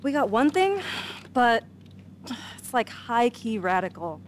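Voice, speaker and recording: A woman's voice: on the high side, very animated, slow pace, slight background noise. Dry young female hacker. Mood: matter-of-fact. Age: young adult. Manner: slangy, matter-of-fact, sardonic. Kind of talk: video game cutscene acting.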